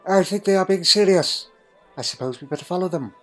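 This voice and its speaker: A man's voice: high voice, fairly quick, soft background music, close to an everyday voice. A man playing a crotchety, dry-witted elderly time traveller in a fan audio drama, fussy and full of questioning hums. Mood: wry, wary. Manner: fussy, punctuated with questioning hmms.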